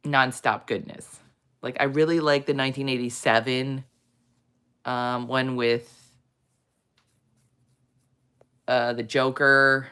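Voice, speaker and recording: A woman hosting a casual chat, rambling with many fillers, in a low morning voice. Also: fairly even intonation, unhurried, sharp tone, smooth. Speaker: host. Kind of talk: casual chat reading viewer comments.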